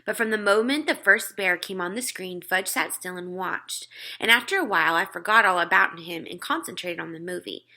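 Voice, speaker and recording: A woman narrating a children's book aloud in an expressive style. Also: on the low side, brisk. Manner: acts out dialogue.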